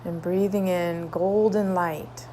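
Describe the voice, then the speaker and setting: A woman leading a guided meditation, soothing, with drawn-out phrases and long pauses between them. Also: lowish voice, fairly even intonation, warm tone, slight background noise, poor audio.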